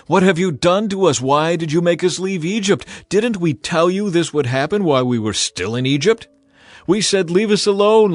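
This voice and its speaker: A man's voice: fairly high pitch, dry recording, pitched above the performer's own voice, close to an everyday voice. A man voicing frightened Israelite people who plead and accuse in a dramatized scripture reading, with music behind.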